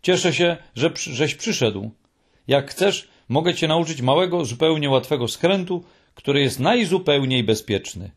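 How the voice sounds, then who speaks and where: A man's voice: fairly high pitch, animated, moderate pace, pitched above the performer's own voice. A man voicing a cheerful, friendly, clueless male troll creature in an audiobook, with an eager, dreamy way of talking. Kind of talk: audiobook character voice.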